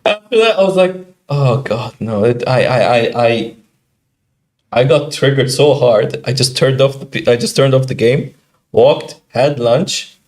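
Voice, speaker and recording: Animated man telling a gaming story. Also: medium pitch. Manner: animated, quick, acts out quoted players. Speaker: podcast co-host. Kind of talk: casual storytelling about a video game.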